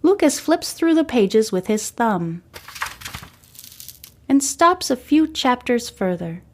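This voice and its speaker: A woman's voice: on the high side, big pitch swings, slow. A woman reading a scripted story aloud as the narrator and host of a fiction podcast.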